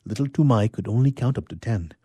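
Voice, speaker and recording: A man's voice: medium pitch, expressive, a little fast, mellow, slightly rough. A man who works as a radio theatre player, telling and explaining a story. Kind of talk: explaining and expressive storybook reading.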